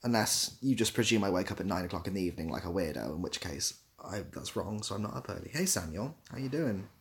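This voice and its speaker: A man's voice: low-pitched, expressive, quick pace, vocal fry. A young man hosting a casual livestream, reading out comments and giving dry, self-deprecating, rambling answers. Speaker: livestream host.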